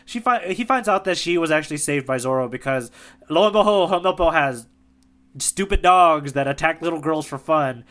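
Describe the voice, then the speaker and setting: Bright animated male podcast host. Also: on the high side, moderate pace. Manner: animated, laughs often, many fillers. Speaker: podcast co-host, tour guide. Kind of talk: casual podcast chat.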